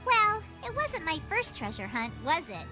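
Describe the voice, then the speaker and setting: A woman's voice: very high voice, very animated, moderate pace, music in the background. A woman voicing a playful, clingy young girl treasure hunter in a video game. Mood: playful, affectionate.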